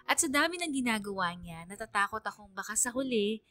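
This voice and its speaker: A woman's voice: fairly high pitch, very animated, a little fast, bright, forceful tone, dry recording, faint music behind. A woman playing a lonely, wistful female student, narrating a radio drama letter in a plaintive, confiding way. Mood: plaintive, hurt.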